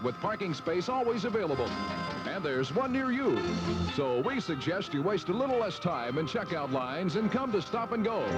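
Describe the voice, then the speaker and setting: A man reading a 1970s radio commercial as a conversational announcer, pitching with rhetorical questions. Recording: vintage radio ad over loud music. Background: loud music.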